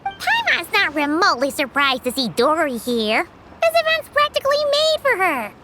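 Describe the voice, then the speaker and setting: A woman voicing a tiny, excitable, childlike female fairy companion with a squeaky voice in a video game. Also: normal speed, slight background noise, heavily acted. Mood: cheeky. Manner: refers to self in third person, exclamatory. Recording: loud music behind the voice. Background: music.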